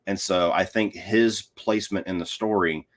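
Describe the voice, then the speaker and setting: A man's voice: low voice, steady tone, moderate pace. A man speaking as a podcast guest, giving his opinions in casual conversation.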